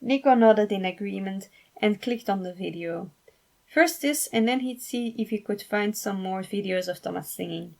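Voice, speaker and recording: A woman narrating a fan fiction story in an expressive amateur reading style. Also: mid-range voice, moderate pace, vocal fry.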